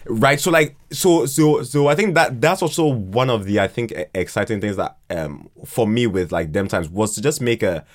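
Man, lowish pitch, casual podcast guest, halting delivery, frequent word repetition. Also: animated. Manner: halting, repeats words often as he thinks aloud. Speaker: podcast guest, fiction podcast writer. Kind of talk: casual conversational interview.

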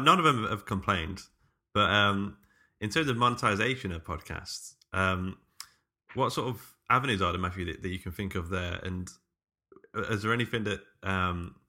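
Low deep guy cohosting a podcast, bright crisp edge, kinda rambly and halting, casual. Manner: hesitant, rambling. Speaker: podcast co-host. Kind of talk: casual chat.

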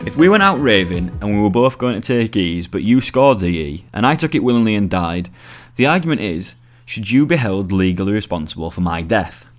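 Low-pitched young British man reading aloud. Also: big pitch swings, fairly quick, soft background music. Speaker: journalist reading own article aloud. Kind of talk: scripted narration.

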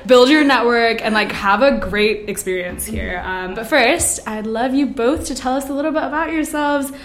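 A woman's voice: on the high side, normal speed. Chatty, upbeat woman podcast host. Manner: chatty and upbeat, often laughing, leans on "like". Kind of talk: casual interview chat. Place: indoor room with guests.

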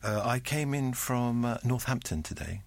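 A man's voice: medium pitch, animated, moderate pace, creaky, no echo, pitched below the performer's own voice. A man voicing a hesitant male etiquette professor who halts with fillers.

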